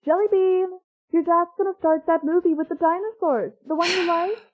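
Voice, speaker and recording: A woman's voice: very high voice, steady tone, normal speed, dry recording, highs cut off. A woman playing a doting, cheerful mother in an audio drama. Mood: cheery, coaxing.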